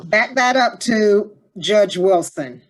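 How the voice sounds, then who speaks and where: A woman's voice: medium pitch, lively intonation, takes it slowly. A woman giving casual, practical instructions to a cast as their director. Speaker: rehearsal or recording director.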